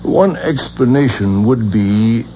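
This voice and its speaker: An actor playing a patient, learned professor who explains things in a didactic, precise way, in a 1970s radio drama style. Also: male voice, mid-range voice, lively intonation, takes it slowly, mellow. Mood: intrigued, emphatic. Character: learned male professor of reincarnation lore, measured and patient. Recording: dull, hissy 1970s radio recording.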